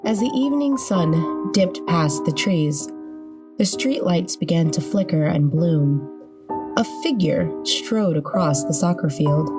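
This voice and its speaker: A woman with a fairly low voice reads scripted narration in a storytelling style. Music plays clearly behind her.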